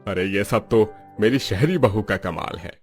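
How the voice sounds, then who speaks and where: A man's voice: on the low side, a little slow, soft background music, natural voice. A man voicing a proud, cheerful elderly father-in-law in a cartoon, teasing and boastful. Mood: proud, pleased.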